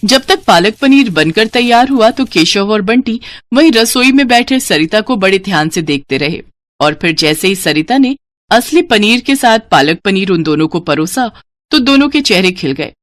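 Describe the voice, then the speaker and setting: A woman's voice: mid-range voice, expressive, normal speed, dry recording. A woman reading a scripted story as its narrator.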